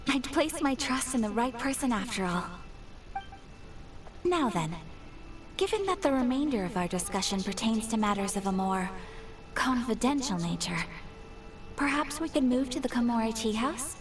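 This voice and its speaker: A woman playing a gracious, earnest young noblewoman in a game, speaking in a formal, measured and refined way. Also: on the high side, animated, far from the mic, natural voice. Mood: composed, formal. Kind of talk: scripted game dialogue.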